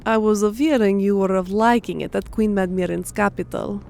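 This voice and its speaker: A woman's voice: medium pitch, steady tone, moderate pace, creaky, darkish tone, close to an everyday voice. A woman voicing a young, eager female creature who speaks in broken, oddly built sentences, in an audiobook story. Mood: eager to please. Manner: broken, oddly phrased foreign-sounding grammar. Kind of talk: audiobook character acting.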